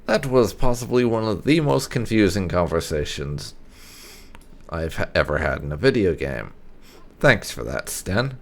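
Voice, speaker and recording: A man's voice: low voice, big pitch swings, unhurried. A man hosting a gaming channel, giving casual let's play commentary.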